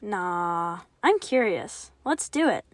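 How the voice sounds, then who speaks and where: A woman's voice: on the low side, sing-song, slow pace, exaggerated voice. A woman playing a skeptical, outwardly bold young friend in an audio play. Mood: bold bravado.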